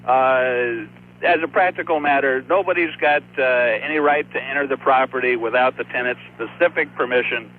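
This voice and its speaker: A man calling a radio show as a real estate agent, chatting casually and explaining things in an informal, halting, folksy way. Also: medium pitch. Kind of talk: casual phone call-in chat. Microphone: telephone. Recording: thin phone line, faint noise.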